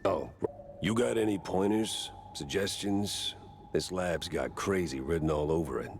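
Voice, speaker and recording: Deep-voiced hard-boiled cartoon detective. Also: male voice, unhurried, a little hiss or hum. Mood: irritated, suspicious. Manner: sardonic, deadpan. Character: hard-boiled male mouse private detective, wry and weary. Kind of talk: 1930s film noir cartoon game acting.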